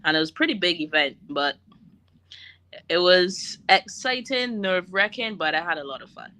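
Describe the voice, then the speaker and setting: Bright upbeat Barbadian woman. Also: fairly low pitch, animated, moderate pace. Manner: casual, upbeat with fillers. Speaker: guest chef. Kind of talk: interview answers.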